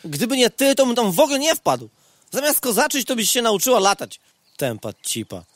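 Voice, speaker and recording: A man's voice: extremely high pitch, big pitch swings, a little fast, a bit bright, dry recording, studio quality, full character voice. A man playing a grumpy, sarcastic, defeatist male mosquito in a comic audio drama, whining in crude everyday speech. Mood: sarcastic, insulting. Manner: whiny, sarcastic, crude colloquial griping.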